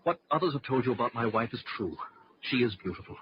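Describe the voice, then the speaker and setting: An actor playing a tormented, jealous artist with a halting, overwrought delivery in an old-time radio drama. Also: male voice, medium pitch, lively intonation, natural voice. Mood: anguished confiding. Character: tormented, jealous male artist, brooding and short-tempered. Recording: muffled, noisy old radio recording.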